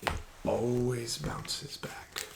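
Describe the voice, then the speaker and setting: A man doing an ASMR roleplay as a casino card dealer, talking slowly and softly with smug, teasing patter that slips into whispers. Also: mid-range voice, steady tone, creaky. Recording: audible background noise.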